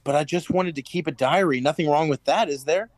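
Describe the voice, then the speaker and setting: A man's voice: a little high, brisk, lightly acted. An actor playing an anxious, furtive male party clerk in a radio drama, with a hesitant, nervous manner. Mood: defensive, uneasy.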